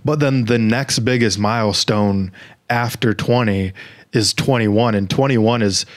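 A man's voice: lowish voice, measured pace. A man in his twenties co-hosting a podcast, talking in a laid-back, loose way and swearing casually. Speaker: podcast co-host. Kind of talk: casual chat.

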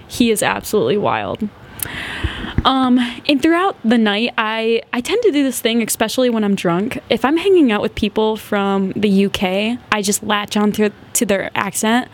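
Twenties girl rambling through a story on her podcast, giggly, lots of "like", mid-pitched voice. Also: lively intonation, normal speed. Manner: chatty, giggly, heavy use of "like". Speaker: podcast host. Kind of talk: casual storytelling.

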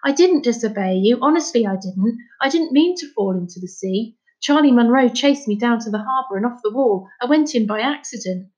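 A woman voicing an earnest, excitable young boy in a storybook, pleading and insistent. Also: on the high side, fairly quick, few pauses, lo-fi sound, pitched above the performer's own voice, natural voice. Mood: desperate, pleading.

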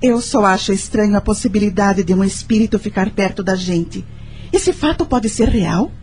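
An actress playing a sympathetic, curious female friend in a radio drama, gentle and thoughtful. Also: mid-range voice, expressive, moderate pace, soft background music, close to an everyday voice. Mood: curious, impressed. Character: Lúcia, sympathetic, curious woman friend and confidante.

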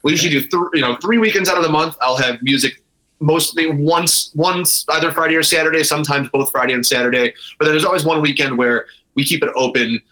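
A man's voice: a little high, fast, sharp tone, low-quality recording. A man talking casually and enthusiastically as an interview guest, emphatic and free with swearing. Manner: self-described nerdy. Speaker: guest, brewery co-owner.

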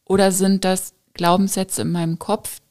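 A woman's voice: medium pitch, flat delivery, moderate pace, darkish tone. A woman hosting a podcast, talking in an encouraging, reflective way like a coach. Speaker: podcast host. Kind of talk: casual podcast conversation.

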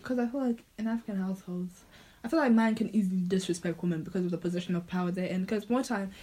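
A woman's voice: mid-range voice, fast talker, dark tone. Young woman, frank and dry, podcast co-host. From Zimbabwe. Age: young adult. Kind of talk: casual chat.

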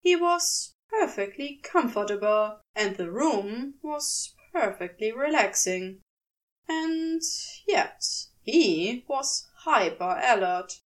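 Woman narrator, high voice, very lively intonation, story reading, slightly distant from the mic. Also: slow pace. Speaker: amateur audiobook narrator. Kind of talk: expressive scripted fiction narration.

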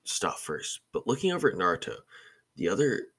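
A man's voice: sits low, wildly swooping pitch, a little fast, vocal fry, a bit bright, husky. A man narrating a story in an amateur way, sometimes stumbling and correcting himself. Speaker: narrator of a fan fiction podcast.

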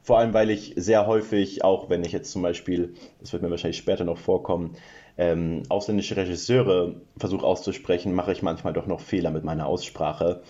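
A man's voice: deep voice, steady tone, fairly quick, dark tone, highs cut off. A man from Franconia, a guest on a podcast, chatting in a self-deprecating way with many fillers. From Franconia, Germany. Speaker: podcast guest, brother of the co-host. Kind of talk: casual chat.

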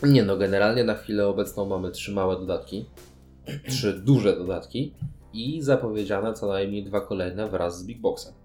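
A man's voice: on the low side, normal speed, darkish tone, soft background music. A man talking casually about board games as a podcast co-host. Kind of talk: casual board game discussion.

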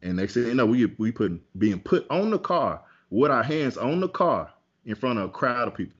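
A man's voice: mid-range voice, big pitch swings, normal speed, husky, muffled recording. A man telling a personal story in a casual podcast chat, candid and conversational. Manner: candid, conversational, with many fillers and restarts.

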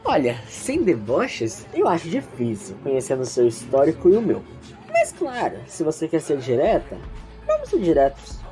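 A woman's voice: low-pitched, huge pitch swings, moderate pace, dark tone, soft background music. A woman playing Victor, an indignant, sarcastic teenage schoolboy who argues mockingly, in an amateur audio drama. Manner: mocking, argumentative.

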